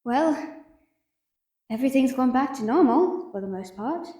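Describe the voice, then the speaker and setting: A woman playing a wry, affectionate young merchant in a fantasy audio drama, gently teasing and a little hesitant. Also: high voice, expressive, measured pace, husky, no room sound, clean studio sound, heavily acted. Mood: casual, friendly.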